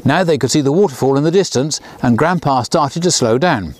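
A man in his sixties, a children's author, reading his own storybook aloud outdoors beside a small railway, lifting his voice for the characters. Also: brisk. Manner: lively storyteller, lifts pitch for characters' lines.

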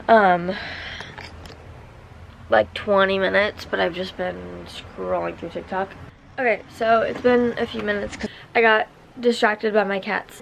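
A woman's voice: medium pitch, creaky, a little hiss or hum, rough recording. A young woman with a stuffy nose, chatting casually to camera in her apartment, with lots of fillers. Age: young adult. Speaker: vlogger. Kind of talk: casual vlog chat. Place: small apartment, indoors.

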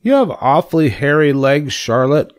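A man voicing an eager, boastful young male pig in a storybook read-aloud, with excited exclamations. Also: a little high, normal speed, voice pushed up, natural voice.

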